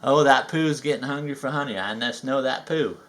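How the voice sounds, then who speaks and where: A man reading a children's story aloud with folksy, chatty asides and drawn-out emphasis. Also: mid-range voice, fairly bright tone. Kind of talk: animated read-aloud narration with chatty asides.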